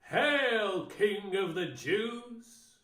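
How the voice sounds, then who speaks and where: A man's voice: sits high, expressive, extremely slow, pushed and bright, reverberant, exaggerated voice. A man voicing scornful jeering men. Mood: mocking. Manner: mocking, taunting. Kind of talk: dramatised scripture reading.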